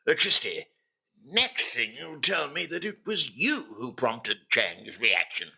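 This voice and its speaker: An actor playing a pompous, impatient upper-class customs chief in an old-time radio drama, blustering and demanding. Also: male voice, medium pitch, sing-song, slow pace, gravelly, pushed and bright, slightly rough, exaggerated voice. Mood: affronted, puzzled.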